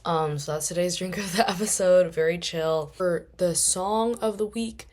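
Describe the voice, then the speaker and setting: A young woman in her twenties with a hoarse, worn-out voice, hosting a casual solo podcast and rambling along with lots of fillers. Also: on the low side, normal speed, a little mellow. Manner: rambling, chatty, many fillers. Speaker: podcast host.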